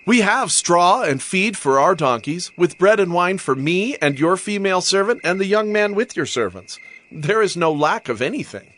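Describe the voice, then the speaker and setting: An actor playing a resolute traveler and master, speaking in an earnest, declamatory way in scripture drama. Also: male voice, high-pitched, lively intonation, normal speed, a bit bright. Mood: weary, explaining. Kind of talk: dramatized audio Bible acting. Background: background music.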